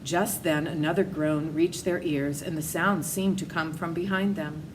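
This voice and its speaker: A woman with a fairly low voice narrates a children's story aloud. There is clear background noise on the recording. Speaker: storybook reader.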